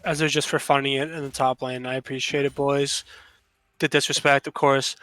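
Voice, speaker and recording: A man's voice: mid-range voice, level tone, normal speed, sharp tone, no echo. A man giving casual live analysis and banter as a commentator on a video game match.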